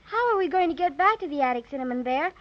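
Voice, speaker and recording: A woman's voice: high voice, expressive, fast talker. An actress playing a kind-hearted, anxious little girl in a 1930s children's radio serial. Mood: wistful. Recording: dull vintage 1930s radio recording.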